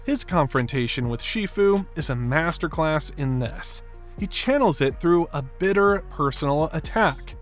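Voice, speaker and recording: A man's voice: on the high side, animated, a little slow. A man delivering scripted narration for an analytical video essay. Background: background music.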